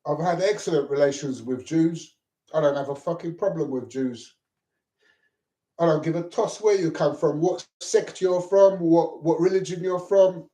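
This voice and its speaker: A middle-aged man, originally from Lebanon, rants in a rambling monologue. He repeats himself and swears freely, and his voice sits a little high for a man. The sound is roomy and echoey. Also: normal speed, warm tone. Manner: agitated, repetitive, swears. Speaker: vlogger. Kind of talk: rambling personal monologue to camera. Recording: echoey room.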